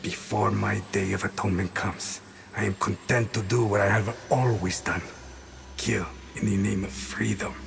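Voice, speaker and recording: An actor playing a weary, guilt-ridden but resolute veteran assassin, narrating his past in a solemn, measured video game voice-over. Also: on the low side, fairly even intonation, vocal fry, a little hiss or hum. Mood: brooding, resolute. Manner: brooding, measured, literary.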